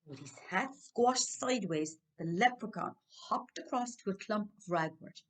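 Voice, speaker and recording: An Irish-accented woman reading a children's story expressively, with dramatic timing and hushed suspense. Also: mid-range voice, expressive, unhurried. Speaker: library storyteller.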